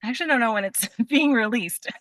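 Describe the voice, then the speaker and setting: A woman's voice: on the high side, fast talker, a bit bright, no room sound. A woman chatting casually as a podcast guest, with a giggly, self-deprecating way of talking. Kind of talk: casual chat.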